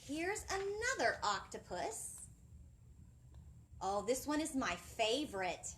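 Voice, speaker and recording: A woman in her thirties presenting a children's storytime, talking in an animated, singsong way and putting questions to young listeners, recorded indoors on a camera mic at some distance. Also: high voice, takes it slowly, slight background noise. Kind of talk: children's storytime teaching, addressing young viewers. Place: indoor library children's area, seated.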